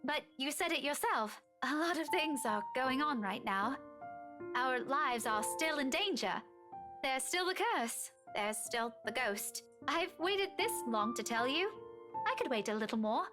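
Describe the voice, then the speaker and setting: A woman's voice: high voice, animated, unhurried. A woman voice-acting an earnest, guarded young woman in love in a visual novel. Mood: earnest, resigned. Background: background music.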